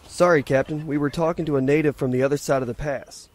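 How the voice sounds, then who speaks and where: An actor playing a dutiful starship lieutenant, giving respectful, matter-of-fact reports in a sci-fi audio drama. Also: male voice, a little high, steady tone, fast, darkish tone, natural voice. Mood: attentive. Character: dutiful male starship lieutenant on an away mission.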